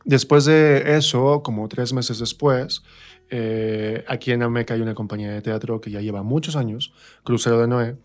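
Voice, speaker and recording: A man with a central Mexican accent speaks at a middle pitch in a casual interview. He tells stories about himself conversationally, slipping into little re-enactments of what people said. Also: fairly even intonation, normal speed, soft background music. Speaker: interview guest, stage actor. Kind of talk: casual personal storytelling in a radio interview.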